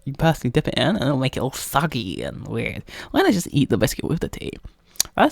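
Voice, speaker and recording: Lilting, soft-spoken young British man. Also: a little high, dry recording, studio quality. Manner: playful, coaxing, often drops into a breathy whisper. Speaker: ASMR roleplay host. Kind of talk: soft ASMR roleplay chat, casual and instructional.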